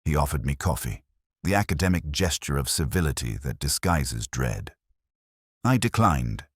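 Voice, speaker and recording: A man reading scripted first-person detective fiction in a measured, literary, brooding manner. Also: extremely low pitch, sing-song, slightly rough, dry recording, clean studio sound. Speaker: narrator.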